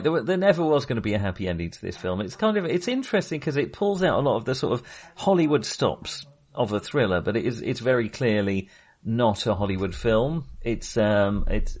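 A man's voice: on the low side, a little mellow. A man co-hosting a podcast, talking casually about films, with many restarts and hesitations. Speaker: podcast co-host. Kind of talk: casual film discussion.

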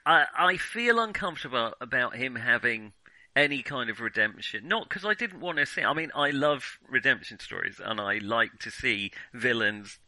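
A man's voice: medium pitch, expressive, normal speed, bright, forceful tone, no room sound, low-quality recording. A man talking casually about film as a podcast host, hesitant and often rephrasing his thoughts as he goes.

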